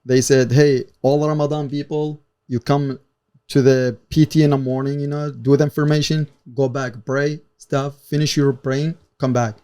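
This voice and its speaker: A man's voice: mid-range voice, little pitch movement, moderate pace, dark tone, clear, resonant tone. A man from Iraq with an Iraqi Arabic accent, speaking casually as an interview guest, in non-native English with simple phrasing and frequent "you know". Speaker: guest, former soldier and interpreter.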